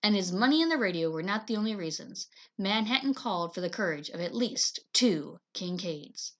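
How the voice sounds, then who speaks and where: A woman teacher reading a chapter book aloud to children in an expressive, dramatizing manner. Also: medium pitch, normal speed. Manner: animated, dramatizes dialogue.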